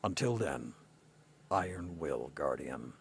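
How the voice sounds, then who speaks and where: An actor playing a stern, solemn commander and mentor in a video game, speaking in a measured, formal and deliberate way. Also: male voice, a bass voice, sing-song, vocal fry, a little hiss or hum, heavily acted. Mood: solemn, reflective. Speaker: video game character briefing the player. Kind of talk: scripted dramatic game dialogue.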